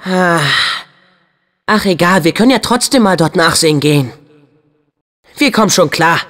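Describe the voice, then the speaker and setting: A man playing an upbeat, brave, earnest teenage boy hero in a video game dub, with an eager, expressive, youthful delivery. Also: sits high, moderate pace, big-room sound. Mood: curious, casual.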